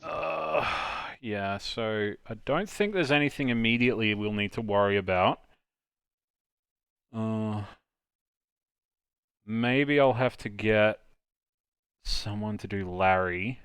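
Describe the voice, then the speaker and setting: A man's voice: fairly low pitch, takes it slowly, long pauses, a bit bright, smooth, no room sound. A man hosting a live stream, chatting casually and joking with friends. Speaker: host of a live dubbing stream.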